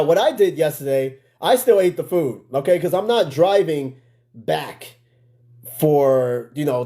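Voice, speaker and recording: A man's voice: high-pitched, warm tone. An American man hosting a podcast in casual livestream chat, slangy and prone to swearing, with conversational asides to his listeners. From United States. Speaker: podcast host.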